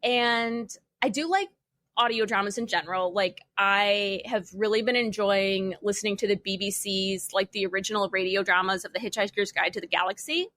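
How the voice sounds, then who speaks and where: A young adult woman chatting casually as a podcast panelist, telling things in an informal, wry and animated way. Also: medium pitch, moderate pace, no echo. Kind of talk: casual chat.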